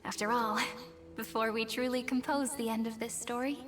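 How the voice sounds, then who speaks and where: An actress voicing a gentle, wistful young woman who tells of memories in soft, breathy, poetic lines for a video game. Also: on the high side, steady tone, moderate pace, natural voice. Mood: solemn, tender. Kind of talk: scripted video game drama. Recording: long echoing reverb, music behind.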